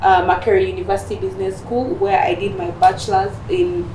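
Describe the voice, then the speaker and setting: A young woman from Rwanda, a guest in a casual interview, talking in a relaxed, rambling way with fillers. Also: mid-range voice, brisk. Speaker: guest, beauty business owner. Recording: echoey, distant mic, noisy.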